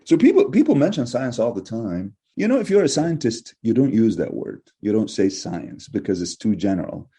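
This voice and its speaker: Give me a middle-aged man from Egypt, Arabic, speaking English in a low, husky voice. His answers are measured and probing, with frequent restarts as he thinks aloud. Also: big pitch swings, warm tone. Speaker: professor, guest. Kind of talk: reflective interview answers.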